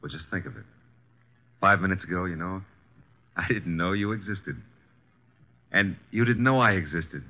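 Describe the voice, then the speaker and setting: An actor playing a breezy, flippant detective's assistant with an American accent and slangy, sardonic delivery in a 1950s radio drama. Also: male voice, low-pitched, normal speed, pauses often, close to an everyday voice. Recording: dull, hissy vintage radio recording.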